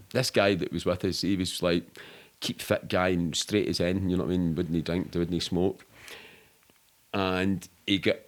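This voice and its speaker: A middle-aged man with a Glaswegian Scottish accent, telling anecdotes casually in a candid, rambling, sweary way. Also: sits low. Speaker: podcast guest.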